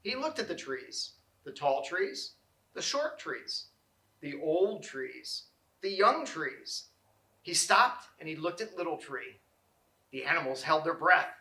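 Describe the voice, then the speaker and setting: Male storybook narrator, bright and fairly high voice, expressive children's read-aloud, in an echoey room. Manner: expressive, deliberate phrasing. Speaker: storybook reader.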